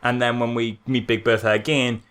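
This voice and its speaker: A man co-hosting a podcast, chatting casually and comically about films in an animated, mock-serious way with rhetorical questions. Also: mid-range voice, fairly quick, smooth. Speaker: podcast co-host. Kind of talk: casual comedic film critique chat.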